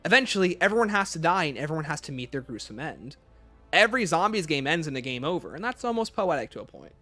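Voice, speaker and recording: A man with a fairly high voice talks casually as a livestream host. His pitch moves around a lot as he fields questions in an offhand way, with faint music playing behind him. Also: very animated, fast talker, bright, forceful tone. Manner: reads questions aloud, then answers offhand. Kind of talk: casual livestream chat answering viewer questions.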